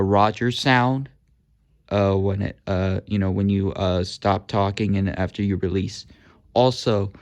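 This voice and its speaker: A man talking casually through a hands-on demo, halting often with uhs and ums while he handles the gear. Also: on the low side. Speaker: hobby video host demonstrating walkie-talkies.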